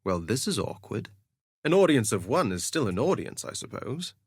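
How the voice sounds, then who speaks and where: An actor playing a vain, flirtatious gladiator duelist in a video game, with a theatrical, suave and sardonic delivery. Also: male voice, medium pitch, very animated, moderate pace, husky, no echo, clean studio sound. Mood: cocky, theatrical. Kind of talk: scripted game voice lines, theatrical quips.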